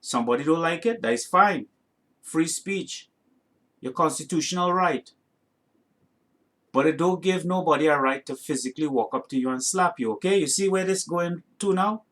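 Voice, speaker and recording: A man's voice: on the high side, moderate pace, a bit bright. A man with a North American accent tinged with Trinidadian, giving opinionated commentary as a video host in an emphatic, indignant way, often checking in with short tag questions. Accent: North American with some Trinidadian. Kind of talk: opinionated reaction commentary to camera.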